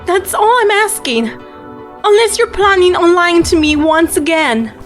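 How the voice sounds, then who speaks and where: A woman as a very high-voiced defiant girl. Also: animated, a little slow, soft background music. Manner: defiant, insistent. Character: defiant young woman with magic powers, distrustful. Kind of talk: amateur audio drama acting.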